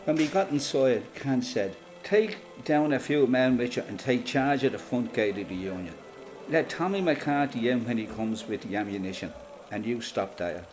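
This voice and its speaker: A man with an Irish accent playing a resolute, plain-spoken freedom fighter giving a matter-of-fact, measured first-person testimony in a radio play. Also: medium pitch, background noise, close to an everyday voice.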